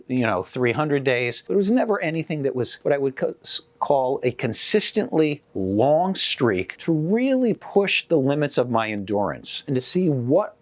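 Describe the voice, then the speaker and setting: A man's voice: medium pitch, no room sound. Man in his sixties with a dry, close sound. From United States. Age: 60s. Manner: conversational and earnest, with frequent restarts and fillers. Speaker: chiropractor. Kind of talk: casual talk to camera.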